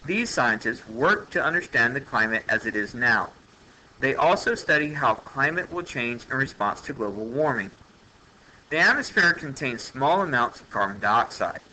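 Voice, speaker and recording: A man's voice: mid-range voice, pushed and bright, a little hiss or hum, poor audio. A man reading an educational science text aloud like a teacher, in a plain manner with occasional stumbles. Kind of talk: educational read-aloud narration.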